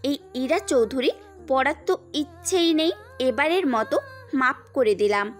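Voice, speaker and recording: A spoiled, arrogant young woman who sneers and picks fights at every turn, voiced by a woman. Her voice is high and sharp with contempt, and soft music plays beneath it. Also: unhurried. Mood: haughty.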